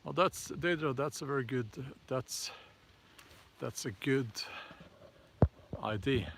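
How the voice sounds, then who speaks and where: A man with a Norwegian accent hosting a casual live chat and answering viewer comments, talking haltingly with many fillers and restarts. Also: mid-range voice, gravelly, a bit bright, a little hiss or hum. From Norway.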